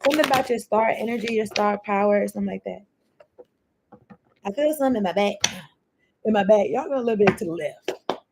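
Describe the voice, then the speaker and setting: A woman's voice: mid-range voice, fairly quick, creaky, pauses often, noisy background. A woman doing a casual tarot reading, chatty and rambling as she free-associates between cards and thoughts, swearing now and then. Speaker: tarot card reader.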